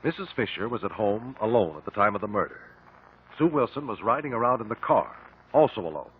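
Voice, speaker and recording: A man acting a calm, courteous investigator who questions precisely and politely in a 1950s radio drama. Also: lowish voice, fairly quick, breathy edge. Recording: narrow-band, faintly noisy old radio recording.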